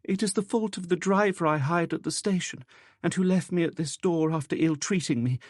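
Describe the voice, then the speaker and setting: A man's voice: on the high side, a little fast, no room sound, studio quality, voice pushed up, natural voice. A man voicing a frightened young woman new to the city, stammering and pleading tearfully in an audiobook. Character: frightened, sobbing young woman, timid newcomer to Paris.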